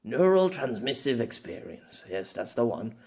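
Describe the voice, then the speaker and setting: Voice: medium pitch, very animated, normal speed, vocal fry, darkish tone. A performer voicing an exasperated, sceptical male storyteller with a fussy, formal way of talking in an audiobook. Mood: explaining. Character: exasperated, sceptical storyteller man, feeling old.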